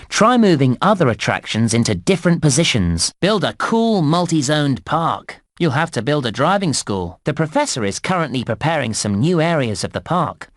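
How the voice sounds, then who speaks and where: A man playing an animated, chirpy theme park guide. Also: mid-range voice, no room sound, studio quality, lightly acted. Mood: helpful, explaining. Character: chirpy, helpful male theme park guide in a children's game. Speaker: game narrator and guide. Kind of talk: scripted children's game narration.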